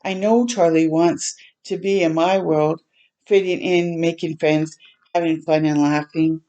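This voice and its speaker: A woman hosting a children's storytime, reading a picture book aloud in a gentle, expressive way with tender asides to listeners. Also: low-pitched, unhurried. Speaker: children's read-aloud host.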